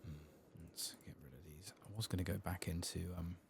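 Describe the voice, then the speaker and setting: A man doing soft-spoken ASMR game commentary, gentle and unhurried, often slipping into a whisper close to the microphone. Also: low-pitched, fairly even intonation, creaky, noisy background. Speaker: gaming ASMR streamer.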